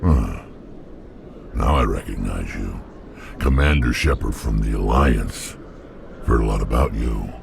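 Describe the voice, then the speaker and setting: An actor voicing a hulking alien mercenary warrior in a video game, blunt and threatening, with a deep gravelly growl. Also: male voice, measured pace, pauses often, noisy background, exaggerated voice. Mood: menacing. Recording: game audio with loud score behind.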